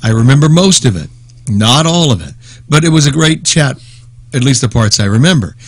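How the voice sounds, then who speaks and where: A man's voice: mid-range voice, expressive, brisk, no room sound. A man with an American accent, a radio host, giving a conversational tribute. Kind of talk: conversational reminiscence.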